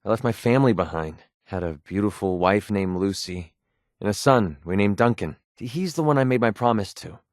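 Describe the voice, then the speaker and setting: An actor voicing a wry, prickly young mercenary sniper with a hidden soft side in a video game, sarcastic and conversational. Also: male voice, fairly low pitch, normal speed, no room sound, studio quality, close to an everyday voice. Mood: subdued, sincere. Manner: sarcastic, conversational, emotionally expressive. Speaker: video game companion character. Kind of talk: naturalistic video game voice acting.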